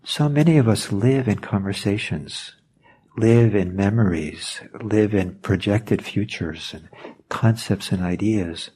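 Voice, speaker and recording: A man whose first language is Norwegian, giving a reflective meditation talk, pausing and searching for words as he goes. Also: fairly low pitch, mellow, husky. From Norway. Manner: thoughtful, hesitant, searching for words.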